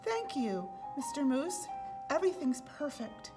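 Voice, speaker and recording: A welcoming lady moose, as a woman storyteller plays her. She speaks in a fairly high voice, polite and fussing over guests in children's storybook style. There is light music behind. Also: sing-song, a little slow. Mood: gracious, happy. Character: gracious, welcoming female moose hostess.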